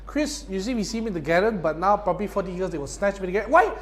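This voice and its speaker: A man's voice: sits high, animated, very fast. A young man casting live esports, chatty and slangy, pushing viewers to join in. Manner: chatty, slangy, promotional asides. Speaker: esports caster.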